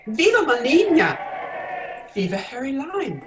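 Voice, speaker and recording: A woman's voice: sits high, huge pitch swings, unhurried, heavily acted. A woman acting as an imperious, formal dictator's wife in an old-time radio drama, grand and declamatory. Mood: proud, ceremonial.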